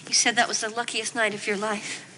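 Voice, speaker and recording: An actress playing a timid wife who pleads and whimpers in a comedy scene. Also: female voice, level tone, a little fast, pushed and bright, a little hiss or hum, lightly acted, low-quality recording. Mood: meek. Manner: pleading, shrill and tearful. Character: timid, frightened young wife.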